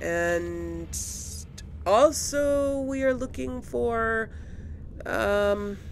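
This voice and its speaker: Slow-talking woman gamer with creaky voice. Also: a little high, slight background noise. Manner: unhurried, thinking aloud. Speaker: gamer, series host. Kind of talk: casual let's play commentary.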